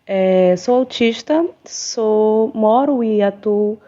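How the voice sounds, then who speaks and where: A woman's voice: mid-range voice, slow, mellow, highs cut off. A woman psychologist from Amapá, Brazil, speaking formally and thankfully in a recorded message.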